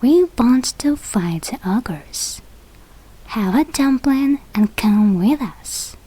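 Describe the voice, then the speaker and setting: A non-native English-speaking woman voicing a brave, polite young boy hero in a storybook, breathy, earnest and careful. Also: fairly high pitch, animated, slow, dark tone, exaggerated voice, low-quality recording. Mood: friendly, determined. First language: not English.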